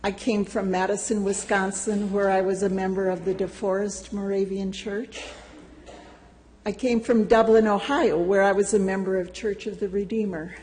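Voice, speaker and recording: An older woman reading a prepared personal testimony to a church congregation, slow and deliberate with gentle humor. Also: medium pitch, flat delivery, long reverb tail, a little hiss or hum. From United States. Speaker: church member giving a testimony. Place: church sanctuary.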